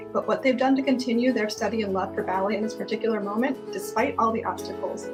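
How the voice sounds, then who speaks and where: A woman reads a prepared address at a fast clip, her voice a little high. Loud music plays beneath her as she speaks as an artistic director. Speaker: ballet school artistic director. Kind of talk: scripted address to viewers.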